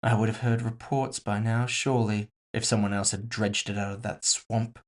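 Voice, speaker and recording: A man's voice: fairly low pitch, little pitch movement, normal speed, lightly acted. A man voicing a composed, villainous woman executive in audio fiction, casually polite, mocking and condescending. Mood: amused, condescending. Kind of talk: audio fiction character dialogue.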